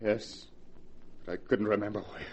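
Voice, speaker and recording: Husky, obsessed radio-drama husband. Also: male voice, on the low side, fairly even intonation, moderate pace, darkish tone. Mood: dreamy. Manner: brooding, intense, theatrical. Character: obsessed husband entranced by a beautiful ghost. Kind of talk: 1940s radio horror acting.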